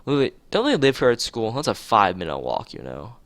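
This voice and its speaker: A man's voice: lowish voice, wildly swooping pitch, fairly quick, a bit bright, dry recording, full character voice. A man voicing a bossy, accusing schoolgirl from a visual novel in an emphatic, scolding manner. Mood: indignant. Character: bossy, accusing schoolgirl speaking for student council.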